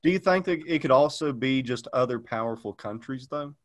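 A man's voice: mid-range voice, animated, fairly quick. A man chatting casually on a podcast, rambling with lots of fillers and restarts. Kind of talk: casual chat.

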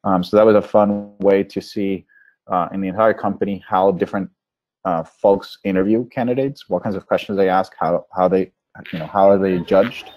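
A man, a Spanish speaker, giving an informal slide presentation as a coach in a halting, measured way over a video call. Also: low-pitched, steady tone. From Venezuela. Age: 30s. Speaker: product management coach giving a webinar. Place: small room indoors, on a video call. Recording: dull, lo-fi webinar audio.

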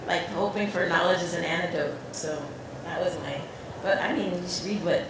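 American woman on a panel, lower voice, chatty and animated, mic a bit far off, big echo and hum. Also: moderate pace. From United States. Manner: casual, animated storytelling with many likes. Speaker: panel artist. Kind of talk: panel discussion answer. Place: large echoey hall with a live audience. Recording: echoey hall, mic held far off, hum.

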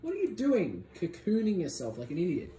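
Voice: wildly swooping pitch, a little fast, dark tone, slight background noise. A person with an Australian accent filming and teasing a sibling in casual, bossy banter. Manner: mocking, bossy, jumps between high and low. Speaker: vlogger filming a family skit. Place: small bedroom with blinds and a gaming desk. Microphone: handheld phone. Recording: echoey bedroom on a phone.

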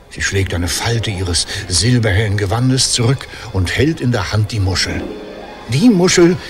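An actor playing a sentimental aging Greek hero in a radio play, with a hesitant, poetic, declamatory delivery. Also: male voice, on the low side. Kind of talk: theatrical German radio drama acting.

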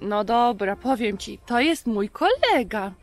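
A woman's voice: a little high, sing-song, a little slow, soft background music. A woman in her thirties presenting a children's show, talking simply and directly to young viewers. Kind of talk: simple, friendly talk to children.

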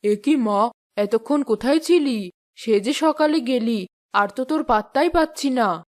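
A woman's voice: a little high, animated, measured pace, a little mellow. A woman voicing a caring, fussing village mother in a children's cartoon. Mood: scolding, puzzled.

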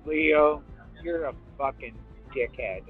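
A man on a prank call, his slightly high voice needling and taunting a scammer, bursting into swearing. The sound is tinny and phone-like, with music faint behind. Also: slow, husky. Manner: taunting, swearing, sings and yells. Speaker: scam baiter.

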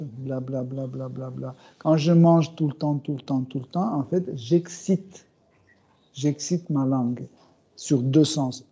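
A middle-aged man giving a scripture class in a small room, speaking slowly and hesitantly with many fillers and soft chuckles. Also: fairly high pitch, breathy edge. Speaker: spiritual teacher. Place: small room with bookshelves, indoors. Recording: dull, muffled recording.

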